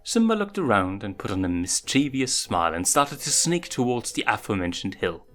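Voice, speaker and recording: A man reads a story aloud as its narrator. His pitch is on the low side and moves in a lively way, with soft music underneath. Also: a little fast. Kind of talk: scripted fan fiction narration.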